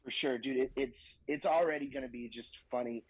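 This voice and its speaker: A man's voice: medium pitch, fairly quick, slightly rough, no room sound, rough recording. A young man and stand-up comedian talking casually on a podcast, laughing often and joking crudely. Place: Austin, Texas.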